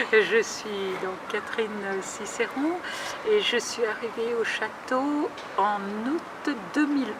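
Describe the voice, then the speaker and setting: A woman's voice: medium pitch, distant mic, noisy background. A woman from a tenants' association, speaking hesitantly with many fillers. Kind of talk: recorded testimony.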